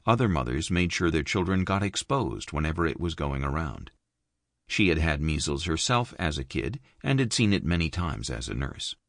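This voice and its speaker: A man reading an audiobook in a measured, expressive studio narration. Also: dry recording. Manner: measured, expressive reading with wide pitch movement. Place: dry recording booth. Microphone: close studio mic.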